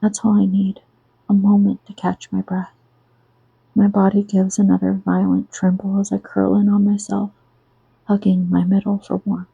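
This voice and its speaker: A woman reading her own novel aloud in a soft, hushed ASMR style, close to the mic. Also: mid-range voice, completely flat delivery, moderate pace, gravelly, breathy edge, no room sound, phone-quality sound.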